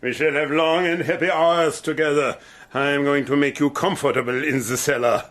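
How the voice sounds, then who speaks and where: An actor playing a cruel, mocking German army colonel who gloats with slow, theatrical menace. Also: male voice, on the high side, steady tone, fairly bright tone, close to an everyday voice. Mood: menacing. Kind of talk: radio play acting.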